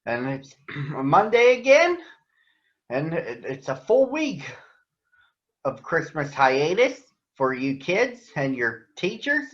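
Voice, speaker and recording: A man's voice: on the high side, very animated, slow pace, a bit bright. A man hosting a virtual picture-book read-aloud, addressing young schoolchildren and their teachers. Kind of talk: picture-book reading to children. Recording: roomy echo, dull lo-fi sound.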